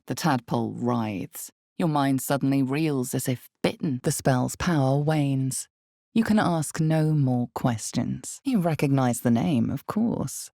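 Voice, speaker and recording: An actress playing an omniscient, grave and slyly knowing narrator of a fantasy role-playing game, speaking in a measured, dramatic way with hushed emphasis. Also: female voice, extremely low pitch, vocal fry, no echo, clean studio sound, full character voice.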